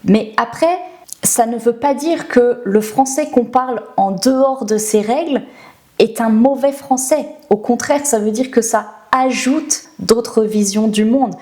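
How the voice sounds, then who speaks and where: A woman's voice: a little high, slow pace, dark tone. A middle-aged woman from France with a French accent, teaching the language and thinking aloud through rhetorical questions. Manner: reflective, asks herself rhetorical questions. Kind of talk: explanatory talk to camera.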